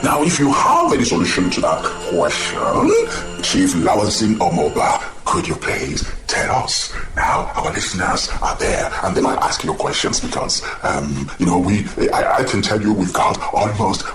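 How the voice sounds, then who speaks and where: A man's voice: low-pitched, huge pitch swings, moderate pace. A man playing a polite, formal radio interview host who keeps apologizing and stumbling over his words. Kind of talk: amateur radio drama acting. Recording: echoey room with background music.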